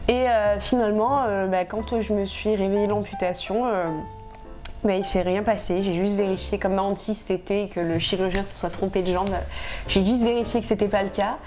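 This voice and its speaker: A woman's voice: medium pitch, fairly even intonation, normal speed, darkish tone, soft background music. A woman in her twenties from southern France, talking frankly as an interview guest with many fillers and restarts. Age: 20s. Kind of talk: casual interview talk.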